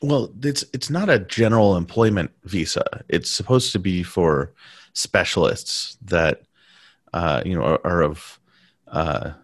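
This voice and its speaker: A man talking casually in a conversation, pausing and restarting his sentences often. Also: low voice, no room sound, studio quality. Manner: halting, many restarts and fillers.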